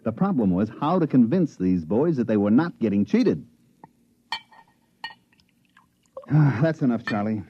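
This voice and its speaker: A man's voice: medium pitch, sing-song, normal speed, faint music behind, lightly acted. An actor playing a world-weary male swindler who narrates a 1950s radio play, with a drawling, sighing delivery. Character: weary, wisecracking male swindler, middle-aged. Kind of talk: 1950s radio drama acting. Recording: dull vintage radio broadcast.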